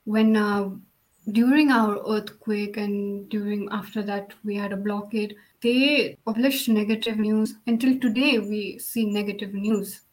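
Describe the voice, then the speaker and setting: A woman's voice: mid-range voice, fairly even intonation, a little slow, poor audio. Woman from Nepal, guest on a video call. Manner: plain, short statements, simple phrasing. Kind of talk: casual video call chat.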